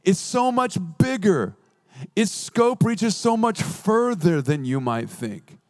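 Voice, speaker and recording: A man preaching a sermon as a pastor, earnest with playful asides, slowing down to stress key phrases. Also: high voice, sing-song, a little mellow.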